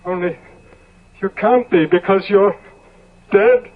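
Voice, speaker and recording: An actor in a 1970s radio drama playing a haunted, guilt-ridden gentleman, halting and theatrical. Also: high-pitched, huge pitch swings, full character voice. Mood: dazed. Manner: halting, repeating words, theatrical. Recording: muffled phone-like vintage radio recording.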